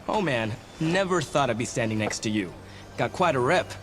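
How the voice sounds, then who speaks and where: An actor playing an eager young hero in a video game, casual and excitable. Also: male voice, medium pitch, normal speed, lightly acted. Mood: starstruck. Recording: music behind the voice.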